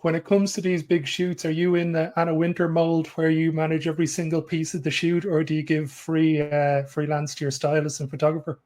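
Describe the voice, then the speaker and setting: A man hosts a podcast interview in a fairly high but very level voice, hardly rising or falling. He sets up his questions politely and in a formal way. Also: flat delivery, a little fast, few pauses, darkish tone. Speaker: podcast host.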